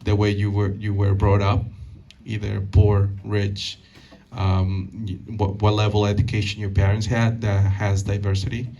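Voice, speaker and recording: A man in his thirties from Peru, answering audience questions at a community talk, halting and full of fillers and restarts. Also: on the low side, completely flat delivery, dark tone, distant mic. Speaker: guest speaker. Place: meeting hall.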